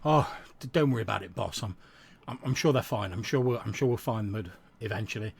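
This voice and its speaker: A man playing a jittery bandit lackey who trips over his words, speaking. His voice is gravelly, and a faint hiss sits behind it. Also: on the low side, fairly quick. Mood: nervous. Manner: stammering, reassuring. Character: nervous male bandit underling.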